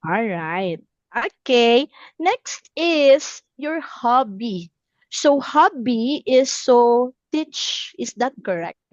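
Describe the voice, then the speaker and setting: A woman's voice: on the high side, very animated, extremely slow, dry recording, dull audio. A woman in her thirties teaching a simple English lesson, encouraging, repeating words and checking understanding. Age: 30s.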